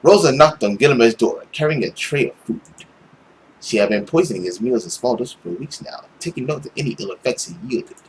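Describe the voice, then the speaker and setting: A young man narrates a visual novel aloud for a gaming video. His reading is animated and dramatic, with casual asides. He is recorded on a headset indoors with faint background noise. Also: mid-range voice, a little fast, low-quality recording. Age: young adult.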